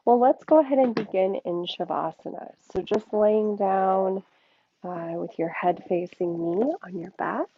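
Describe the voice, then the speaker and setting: A woman leading a yoga class with slow, soothing guided instruction, speaking in short phrases with long pauses. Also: mid-range voice, slightly rough, no echo. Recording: thin, phone-like band-limited sound, dry.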